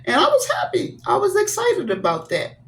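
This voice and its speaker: A woman's voice: very high voice, huge pitch swings, moderate pace, fairly bright tone. A woman of seventy sharing a personal story from her childhood, speaking earnestly and encouraging listeners with blessings. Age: 70s. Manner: devout, blessing viewers. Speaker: vlogger.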